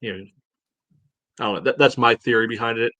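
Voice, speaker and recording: A man co-hosting a sports podcast, giving casual analysis in a halting, thinking-aloud way peppered with "you know". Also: medium pitch, sharp tone, dry recording.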